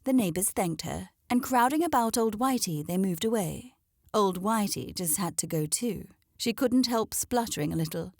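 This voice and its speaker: A woman reading a scripted children's story aloud as an expressive narrator. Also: medium pitch, normal speed, gravelly, no room sound, studio quality.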